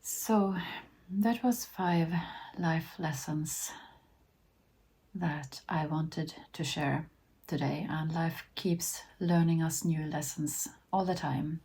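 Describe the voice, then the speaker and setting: A woman in her fifties from Sweden, Finnish by first language, talks slowly to camera in a low, mellow voice. Her tone is a little husky, and she often pauses and restarts as she goes. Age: 50s. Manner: hesitant, with many restarts and soft ums. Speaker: vlogger. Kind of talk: casual talk to camera.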